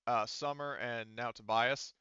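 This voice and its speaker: A man's voice: mid-range voice, steady tone, measured pace, dull audio, noisy background. A man running a casual tabletop roleplaying session as game master, explaining rules and thinking aloud as he goes.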